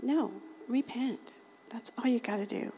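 A woman with a slightly raspy voice giving an informal, chatty sermon to a small group, folksy and often laughing. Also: on the high side, measured pace, warm tone, big-room sound. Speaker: lay preacher.

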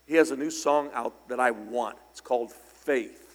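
A middle-aged man preaching a sermon in church, slightly hoarse and raspy from singing, conversational with loud bursts of emphasis. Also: mid-range voice, moderate pace. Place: church sanctuary. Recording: long hall reverb in church.

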